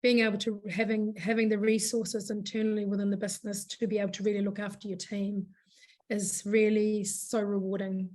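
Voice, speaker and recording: A woman with a hoarse voice, a business founder chatting in an interview, rambling with many fillers and restarts. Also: medium pitch, level tone, brisk, darkish tone. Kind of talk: casual interview chat.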